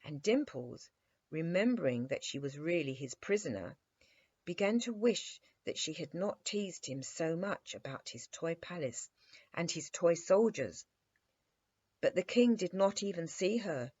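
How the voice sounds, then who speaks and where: A woman's voice: fairly low pitch, sing-song, a little slow, darkish tone, husky, dull audio. A woman storyteller narrating a children's bedtime story expressively.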